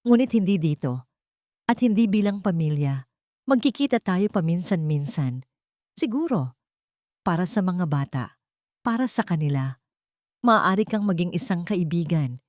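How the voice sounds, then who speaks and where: A woman acts out a wronged Filipino mother in an audio drama, firm yet gentle. She speaks solemnly and softly in a fairly low voice, recorded dry. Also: expressive, dry recording, lightly acted. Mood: firm, forgiving. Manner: measured, solemn, soft-spoken.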